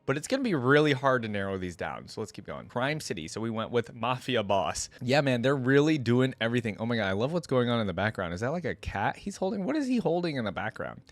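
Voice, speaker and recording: A man hosting a reaction video, chatting informally and slangily as he thinks out loud. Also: medium pitch, sing-song, quick pace, hardly any pauses, no room sound. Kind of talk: casual reaction commentary.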